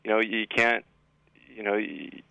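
A man's voice: fairly low pitch, measured pace, vocal fry, pushed and bright, smooth, no echo, poor audio. A man speaking as a school administrator guest in an interview, explaining things in an assured way. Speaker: high school assistant principal guest. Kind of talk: interview answers.

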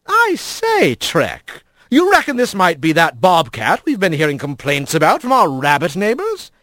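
A man's voice: fairly high pitch, wildly swooping pitch, unhurried, a bit bright, no room sound, clean studio sound, exaggerated voice. A man voicing a jovial, pompous otter mayor with breezy upper-crust manners in a storybook reading. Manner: breezy, pompous, old-fashioned nautical gentry talk.

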